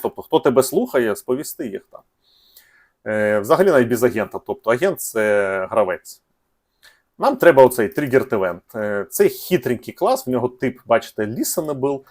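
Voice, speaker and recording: A man's voice: medium pitch, lively intonation, fast, a little mellow. A man hosting a casual coding tutorial, speaking in slangy, informal language and thinking aloud with hesitations. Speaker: programming tutorial host.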